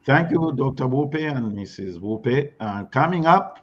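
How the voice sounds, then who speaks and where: A man with a Texas accent hosting a live talk show, briskly wrapping up segments. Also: mid-range voice, animated, breathy edge, muffled recording. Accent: Texan.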